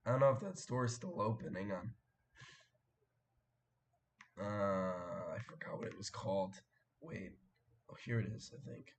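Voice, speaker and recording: A young man hosting a casual live stream, his voice hoarse and cracking after a sore throat, rambling and clearing his throat as he chats with viewers. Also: lowish voice, a little slow, long pauses, slight background noise. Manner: rambling, chatty, frequent fillers and throat clearing.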